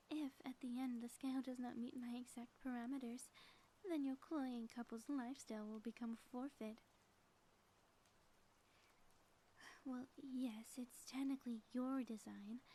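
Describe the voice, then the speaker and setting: A woman's voice: high-pitched, warm tone, low-quality recording. A woman playing a theatrical ex-villain spouse in an audio roleplay, delivering grandiose, mock-menacing taunts in florid language. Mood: commanding, menacing. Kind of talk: scripted audio roleplay monologue, ASMR.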